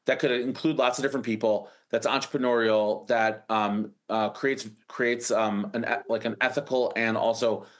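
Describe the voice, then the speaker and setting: A man's voice: mid-range voice, fairly even intonation, moderate pace, few pauses. An American man in his forties, a startup founder telling his story in an interview, rambling with many restarts and fillers. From United States.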